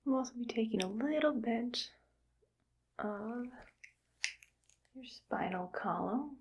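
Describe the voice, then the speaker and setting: A woman voicing a cold, calm evil scientist who harvests brains, whispering softly in a clinical, matter-of-fact way for an ASMR roleplay. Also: fairly high pitch, takes it slowly, slight background noise. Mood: coolly clinical. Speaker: ASMR creator.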